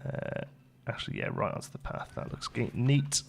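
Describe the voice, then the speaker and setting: Guy doing a casual lets play, medium pitch, chatty, thinking out loud while playing. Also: moderate pace, gravelly. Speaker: gaming video host. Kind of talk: casual let's play commentary.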